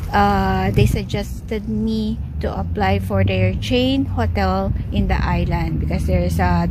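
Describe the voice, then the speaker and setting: A chatty woman in her thirties talks to her phone camera at arm's length in an open park. She drops guys into almost every sentence and her phrasing is plain and non-native. Steady outdoor noise and passing traffic sit under her voice. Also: mid-range voice. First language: Filipino. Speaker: travel vlogger. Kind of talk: casual vlog chat to camera. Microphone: phone camera at arm's length, no headset.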